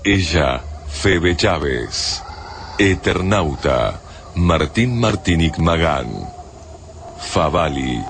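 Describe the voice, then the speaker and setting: A man doing scripted radio announcing, reading out credits deliberately with pauses between names. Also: very deep voice, noisy background. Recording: music under the voice.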